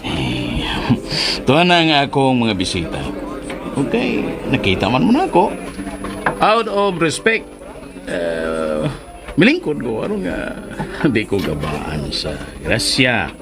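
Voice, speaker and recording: An actor playing a bashful, pleading middle-aged suitor in a Filipino radio drama, hesitant and stammering, with a Filipino accent. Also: male voice, medium pitch, wildly swooping pitch, slow pace, music in the background, heavily acted. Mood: flustered, jovial. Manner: hesitant, stammering, nervous throat-clearing and chuckles. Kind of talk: radio drama acting, melodramatic.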